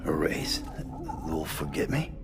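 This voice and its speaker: Casting: terse gruff courier in a game, extremely low gravelly voice, guarded, man of few words. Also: very animated, slow, in a whisper, music in the background, heavily acted. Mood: worried, doubtful. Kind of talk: video game cutscene acting.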